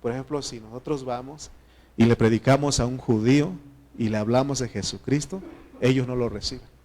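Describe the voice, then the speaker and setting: A man from Mexico with a Mexican accent preaching a sermon to his church, earnest and conversational, often pausing on fillers and restarting phrases. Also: mid-range voice, darkish tone, a little hiss or hum. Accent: Mexican Spanish. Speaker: pastor.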